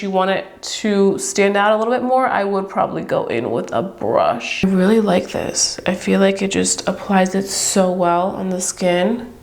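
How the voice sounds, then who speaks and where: A woman's voice: mid-range voice, gravelly. Young woman, stuffy congested voice, beauty vlogger, chatty, upbeat, gushing. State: congested, stuffy-nosed from a cold. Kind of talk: casual product review to camera.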